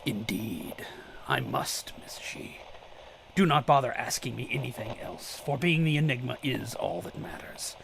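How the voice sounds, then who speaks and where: A man playing a grim, mysterious vigilante in a radio drama, speaking formally and ominously in a gravelly half-whisper. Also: mid-range voice, sing-song, fairly bright tone, slight background noise, heavily acted, poor audio. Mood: cryptic, resolute. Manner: slow, ominous, formal speech. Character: grim mysterious male vigilante, cryptic and resolute.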